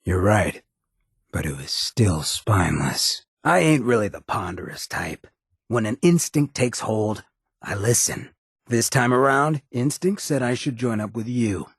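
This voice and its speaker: A roguish undead drifter turned rebel mayor in a video game. The voice is on the low side and shredded with gravel. He talks in a cocky, streetwise slang with a loose drawl, recorded very dry. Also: animated, unhurried, no room sound, exaggerated voice. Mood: candid, cocky. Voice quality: very raspy, gravelly. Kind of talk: video game companion dialogue.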